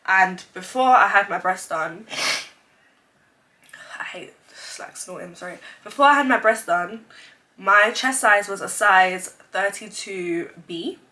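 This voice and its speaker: A woman's voice: medium pitch, a little slow, bright, forceful tone. A woman in her twenties, congested from a cold, chatting openly in a casual sit-down vlog with lots of "like" fillers. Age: 20s. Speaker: vlogger. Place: indoors, seated at home. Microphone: camera mic, sitting in front of it.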